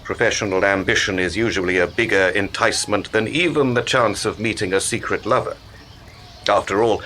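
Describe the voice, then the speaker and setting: An actor in a radio play playing a secretive, cultured male promoter who speaks in a measured, erudite, persuasive way. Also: sits low, pushed and bright, a little hiss or hum, exaggerated voice.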